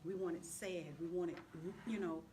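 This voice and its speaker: A woman's voice: on the low side, fairly even intonation, normal speed, dark tone, a little hiss or hum. A woman speaking as a play director guest, explaining things in casual interview talk.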